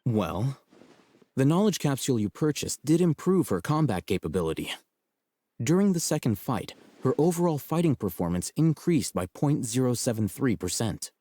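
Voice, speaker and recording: Game scholar, young man: composed, analytical, articulate, mellow tone, varied intonation. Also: medium pitch, big pitch swings. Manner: measured, articulate, matter-of-fact. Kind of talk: scripted video game dialogue.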